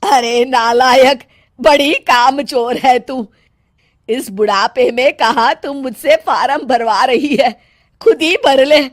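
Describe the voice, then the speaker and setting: Woman voicing a shrill scolding old mother-in-law. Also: slow. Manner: scolding, shouting insults. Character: bossy harsh older mother-in-law. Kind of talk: storybook character voice.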